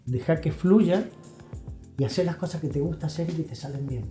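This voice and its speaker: An Argentine man speaks as an interview guest with a soft, mellow tone. He explains and advises in an emphatic, conversational way, repeating phrases to drive points home. The room is audibly echoey, with music playing behind him. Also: on the high side, a little fast. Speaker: interview guest, health professional. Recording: roomy echo, music behind.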